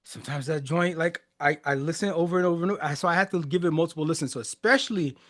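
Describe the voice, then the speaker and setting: A man's voice: a little high, very fast, a bit bright. A man in his forties, born in Haiti and raised in the United States, talking casually and reflectively as a podcast host, laughing often. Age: 40s. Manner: laughs often, loose and conversational, many restarts and fillers. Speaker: music reaction podcast host.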